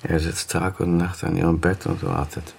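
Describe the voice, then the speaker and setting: A low-voiced, weary professor father. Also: flat delivery, normal speed, gravelly, darkish tone, slightly rough, heavily acted. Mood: troubled, guilt-ridden. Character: weary, defensive professor and doctor, father of grown son. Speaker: actor. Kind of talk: German radio crime drama acting.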